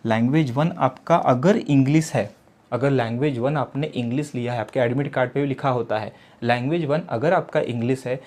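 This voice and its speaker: A man's voice: mid-range voice, a little mellow. A young man, an exam coaching teacher, explaining step by step in a tutorial and asking himself rhetorical questions as he goes. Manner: repeats rhetorical questions, mixes English words into Hindi.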